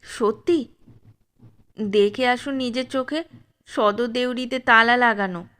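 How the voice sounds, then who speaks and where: A woman's voice: on the high side, slow, a bit bright, smooth. A woman voicing a frightened young male servant who whispers a warning. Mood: scared. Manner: whispering urgently.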